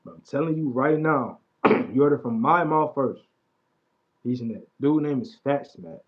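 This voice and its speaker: A man in his late twenties talks loosely and casually, drifting into quiet mumbled asides between bits of chat. His voice sits in an ordinary middle register. The audio is dull and lo-fi, like a home stream mic. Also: mid-range voice, moderate pace. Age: 20s. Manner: laid-back slangy talk, mumbling asides. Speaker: livestream host. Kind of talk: casual livestream chat about music.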